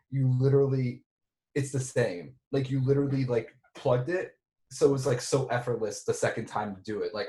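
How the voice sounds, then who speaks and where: A man's voice: mid-range voice, darkish tone, echoey room. A man, the director of a film, chatting casually at a reunion and rambling with lots of filler words over a video call.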